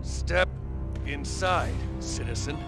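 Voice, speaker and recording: A man's voice: a little high, slow, loud music behind the voice. A man playing a commanding, sinister official in a game cutscene. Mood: cold, commanding.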